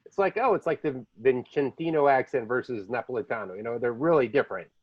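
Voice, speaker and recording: A middle-pitched man tells loose, rambling stories as he hosts a casual podcast conversation. The recording sounds dull and muffled, with no room echo at all. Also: fast. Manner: rambling storyteller, jumps between languages. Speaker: podcast host.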